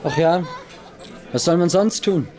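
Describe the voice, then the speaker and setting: An actor playing a moody, defensive young student in a radio play. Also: male voice, a little high, expressive, measured pace, warm tone, a faint crowd. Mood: defensive, blasé. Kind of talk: German literary radio play dialogue.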